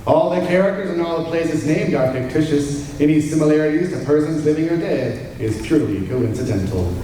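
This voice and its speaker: A man's voice: on the high side, lively intonation, fairly quick. A man working as announcer and stage host of a live radio-drama show, upbeat in manner. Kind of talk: live radio-show announcing, then casual backstage chat. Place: large hall, live stage. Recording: echoey hall from far off, loud room noise.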